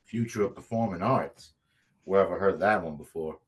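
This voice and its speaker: A man plays a weary reformed hitman in a comedy read-through. His voice is lowish and rough-edged, with a casual, crude delivery. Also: normal speed. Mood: exasperated. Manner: casual, profane. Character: reformed hired killer, weary, crude, reluctant fighter. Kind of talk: comedic script table read.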